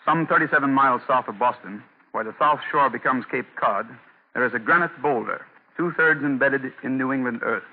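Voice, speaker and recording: A man's voice: medium pitch, a little fast, slightly rough. Formal male narrator, tinny old radio. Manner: formal, oratorical. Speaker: historical advisor, narrator. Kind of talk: scripted 1940s radio narration. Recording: tinny 1940s radio recording.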